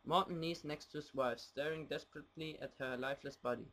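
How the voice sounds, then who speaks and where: A man's voice: on the high side, quick pace, bright, forceful tone, rough recording. A young man from Germany giving scripted narration for an amateur radio play.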